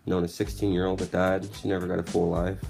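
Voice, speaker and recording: Male, 20s, low gravelly voice, blunt candid podcast monologue with music underneath. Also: flat delivery, fast. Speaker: podcast host. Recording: music playing behind the voice. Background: background music.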